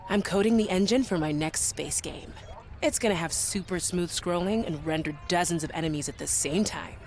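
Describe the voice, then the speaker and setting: An actress playing a sardonic, tech-savvy young programmer in a video game, blunt and teasing with a dry manner. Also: female voice, lowish voice, normal speed, darkish tone, lightly acted. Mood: blunt, proud. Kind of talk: video game character dialogue.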